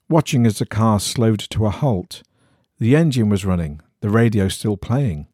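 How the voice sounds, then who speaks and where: Man, horror audiobook narration, mellow husky tone, swooping intonation, measured suspense. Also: mid-range voice, no room sound, clean studio sound. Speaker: story reader. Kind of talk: scripted narration of an eerie horror story.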